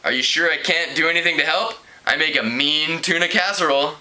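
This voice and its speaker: Man reading as a bright-voiced helpful school principal. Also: on the high side, fairly quick, poor audio. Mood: eager to help. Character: kindly, eager-to-help adult male school principal.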